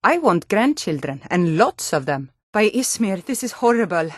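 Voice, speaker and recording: A woman's voice: medium pitch, big pitch swings, moderate pace, no room sound, clean studio sound. An actress voicing a hardy, proud Nordic townswoman in a video game, with a Nordic accent and a blunt, theatrical delivery. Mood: fond, motherly. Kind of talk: video game character voice acting.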